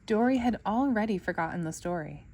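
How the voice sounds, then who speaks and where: A woman storyteller reading a children's book aloud in an expressive narrating style for kids. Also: medium pitch, a little fast.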